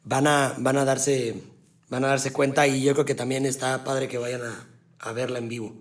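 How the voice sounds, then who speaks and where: A man with a Mexican accent hosting a podcast interview, laid-back and slangy, quick to agree and chime in. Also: mid-range voice, flat delivery. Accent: Mexican Spanish. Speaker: podcast host.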